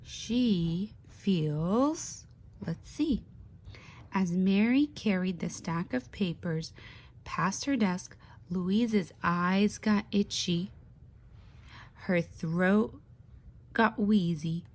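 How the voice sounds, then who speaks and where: Woman, mid-pitched, very unhurried. Expressive children's read-aloud in a speech therapist's teaching style, with pauses and questions. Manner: expressive storytelling with questions to young listeners; slow, deliberate, with pauses.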